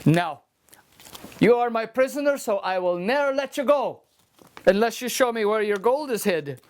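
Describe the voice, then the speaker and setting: A woman's voice: on the high side, animated, normal speed, a little hiss or hum, voice pushed up, lightly acted. A woman voicing a stubborn, clever poor farm lad who speaks firmly. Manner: firm, insistent.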